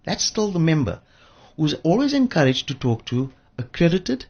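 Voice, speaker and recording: A man speaking as an expert radio guest, emphatic and repeating phrases for effect, with a husky voice. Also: a little high, big pitch swings, normal speed, darkish tone. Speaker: interview guest, pensions industry expert. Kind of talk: radio interview. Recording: dull lo-fi radio audio.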